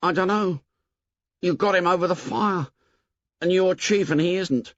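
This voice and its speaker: A man voicing a fussy, anxious schoolboy who pleads in a squeaky, worried voice. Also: unhurried, no room sound, muffled recording. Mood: earnest. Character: anxious, fussy plump schoolboy, bossed and scared.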